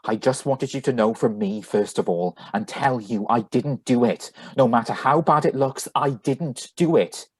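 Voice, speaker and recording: Man acting husky, earnest, worried husband. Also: medium pitch, moderate pace, hardly any pauses, darkish tone, no room sound, close to an everyday voice. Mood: worried, pleading. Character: Bill, earnest worried husband wrongly arrested for murder. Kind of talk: live reading of a 1940s radio thriller.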